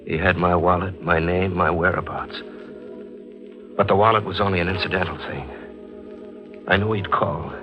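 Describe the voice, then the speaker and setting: An actor playing a guilt-haunted writer who narrates a thriller in melodramatic, brooding old-time radio style. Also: male voice, low-pitched, moderate pace, pauses often, natural voice. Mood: fearful, resigned. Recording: vintage radio drama with music bed.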